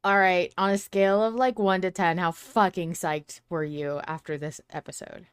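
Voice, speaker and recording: A woman's voice: on the low side, fairly even intonation, normal speed, clean tone, no echo, clean studio sound. A woman hosting a podcast, chatting casually as a fan. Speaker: podcast host.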